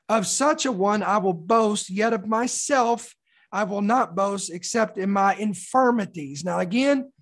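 A preacher delivers an expository sermon in a mid-pitched, slightly raspy voice. The voice sounds mildly hoarse from a sore throat. Key words are stressed deliberately, and phrases are repeated for emphasis between readings of scripture.

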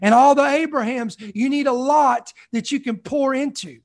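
A man's voice: very high-pitched, normal speed. A man in his forties preaching a sermon, emphatic, with repeated phrases and call-outs to the congregation. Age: 40s. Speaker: pastor.

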